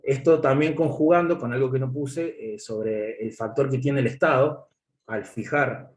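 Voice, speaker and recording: A man giving a class presentation over a video call, halting and full of filler pauses. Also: medium pitch, fairly even intonation, darkish tone. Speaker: student presenter. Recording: echoey room audio.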